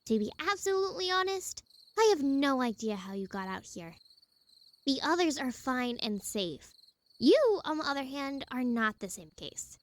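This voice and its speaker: A woman's voice: sits high, very animated, normal speed. A woman voicing a composed, frank young huntress in an audio drama, firm but caring. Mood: frank, concerned. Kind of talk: dramatic fan-fiction voice acting.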